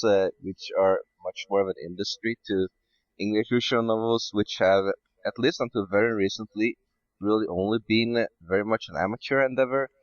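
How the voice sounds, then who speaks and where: A man's voice: fairly low pitch, no echo, lo-fi sound. A man from Sweden chatting casually as a podcast guest. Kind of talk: casual panel chat.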